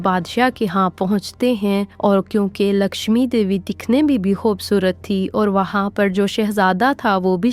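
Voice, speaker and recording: A woman's voice: mid-range voice, a little mellow. A woman narrating a scripted cartoon story as its storyteller. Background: music.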